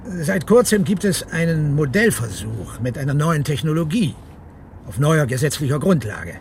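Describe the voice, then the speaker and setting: An actor playing a shrewd, wary police inspector in a German radio crime drama, explaining and probing deliberately. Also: male voice, on the high side, sing-song, darkish tone. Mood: explaining, measured. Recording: noisy vehicle interior ambience. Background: car driving noise.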